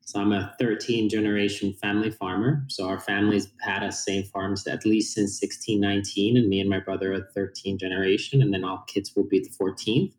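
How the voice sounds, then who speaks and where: A man with a Finnish accent and a lowish voice talks earnestly about his work, pausing on fillers as he explains. He is in his forties. The room around him has a clear echo. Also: little pitch movement, a little mellow. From Finland. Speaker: guest, company founder. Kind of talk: casual interview talk.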